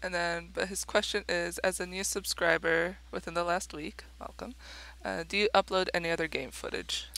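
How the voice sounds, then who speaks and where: Mexican woman cohost, spanish first language, bit of fry, quick laughs. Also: lowish voice, steady tone, fairly bright tone, low-quality recording. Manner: brief, laughing asides. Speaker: co-host reading questions.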